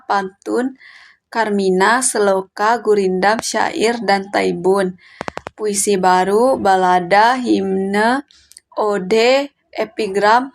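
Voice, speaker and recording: A woman presents a student assignment in a mid-range voice. She reads from a prepared text, reciting point after point. Her delivery is that of someone reading aloud, with occasional stumbles over words. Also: mid-range voice. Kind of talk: scripted lesson narration, read aloud.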